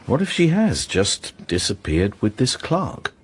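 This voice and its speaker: A gruff, probing detective in a radio drama. His voice is low and husky, with lively swings in pitch. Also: measured pace, exaggerated voice. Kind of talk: naturalistic radio drama acting.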